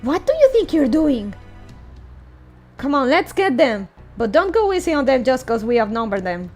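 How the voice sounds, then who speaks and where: A hostile fairy soldier from a fantasy game read by a woman, agitated and accusing. The voice is high, with music faintly underneath. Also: sing-song, normal speed, a little mellow. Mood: eager, aggressive.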